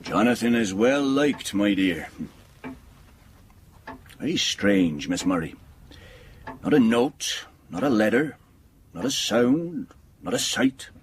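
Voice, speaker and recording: Paternal older gentleman solicitor, unhurried but lively intonation, period drama. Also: lowish voice, slightly rough, heavily acted. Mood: polite, reassuring. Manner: genteel, avuncular, reassuring. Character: kindly older male English solicitor, paternal and reassuring. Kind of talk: period audio drama acting.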